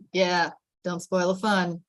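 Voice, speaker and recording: A woman's voice: lowish voice, flat delivery, takes it slowly, no echo. Wry, joking young buddy in a sitcom table read, played by a woman, sardonic, dry sound. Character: wry, joking young man among his buddies.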